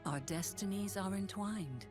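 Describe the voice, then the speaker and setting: An actress playing a solemn, devout warrior woman of sun and moon in a video game, speaking gravely and formally. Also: medium pitch, measured pace, natural voice. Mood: resolute. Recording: music behind game lines.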